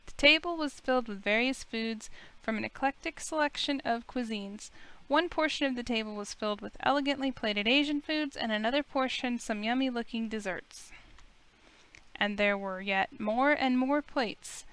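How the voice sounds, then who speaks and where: A woman's voice: a little high, moderate pace, fairly bright tone, clear, resonant tone, natural voice, low-quality recording. A woman playing a wry, self-conscious young heroine, narrating in the first person and speaking dialogue in a visual novel game.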